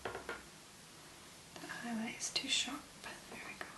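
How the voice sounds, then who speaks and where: A woman doing a soft-spoken ASMR demonstration in a gentle, breathy, unhurried murmur with pauses. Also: roomy sound, rough recording. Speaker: ASMR video creator.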